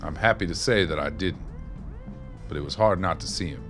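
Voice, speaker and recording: A man's voice: low-pitched, fairly quick, fairly bright tone, faint music behind. An actor playing a streetwise, wry former maintenance man, telling stories in a folksy, colloquial way in a radio play. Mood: reminiscing. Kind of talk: radio play dialogue, reminiscing.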